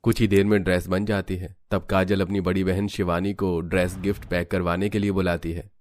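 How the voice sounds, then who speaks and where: Low-voiced male cartoon story narrator. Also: level tone, a little fast, darkish tone.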